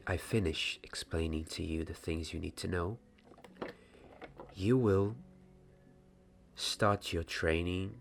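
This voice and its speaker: Young wandmaker's apprentice, played by a man, ASMR fantasy roleplay: hushed, deliberate teaching, deep creaky voice, close mic. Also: a little hiss or hum, heavily acted. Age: 20s. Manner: slow, hushed, deliberate, sometimes slipping into whisper. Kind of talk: ASMR roleplay, soft-spoken.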